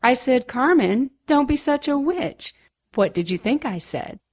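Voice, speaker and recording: Breezy, wry mom. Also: female voice, high-pitched, expressive, measured pace, a little mellow. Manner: dry, teasing. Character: breezy, wry working mother. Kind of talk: audio drama acting.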